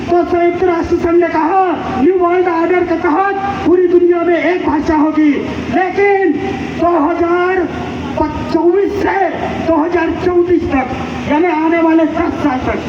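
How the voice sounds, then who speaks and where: A man from Madhya Pradesh, India giving a fiery, impassioned public speech to a gathering, rousing and scolding his listeners. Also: very high-pitched. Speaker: community activist addressing a gathering. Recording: echoey, distant, dull, noisy.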